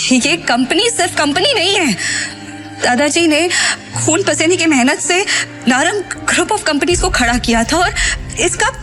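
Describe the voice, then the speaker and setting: A woman's voice: high-pitched, fairly bright tone. An actress playing a proud, determined young businesswoman in a Hindi audio drama, emphatic and emotional, mixing in English.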